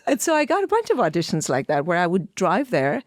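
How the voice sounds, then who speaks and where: A woman's voice: lowish voice, wildly swooping pitch, quick pace, no room sound. Middle-aged woman telling her story, dry sound. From India. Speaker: podcast guest, actor. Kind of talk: conversational interview storytelling.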